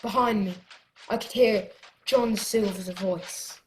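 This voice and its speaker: A woman playing an excitable boy adventurer, narrating a radio drama with dramatic storytelling. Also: medium pitch, slow, lightly acted, poor audio. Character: excitable boy adventurer telling his tale.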